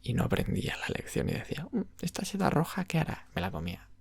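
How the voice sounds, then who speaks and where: A man with an accent from Spain chatting softly and unhurriedly in ASMR style, breathy, creaky and half-whispered. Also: on the high side, wildly swooping pitch, dry recording. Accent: Spanish (Spain). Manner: unhurried, rambling. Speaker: ASMR roleplayer as video game shop clerk. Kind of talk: ASMR roleplay, soft casual chat about games.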